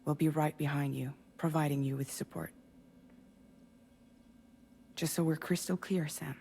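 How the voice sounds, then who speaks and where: Practical loyal teammate in a game cutscene, mellow lowish voice, matter of fact briefing. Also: female voice, fairly even intonation, unhurried, creaky, slight background noise. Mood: reassuring, firm. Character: brisk, practical, loyal female mission teammate. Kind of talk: video game cutscene acting.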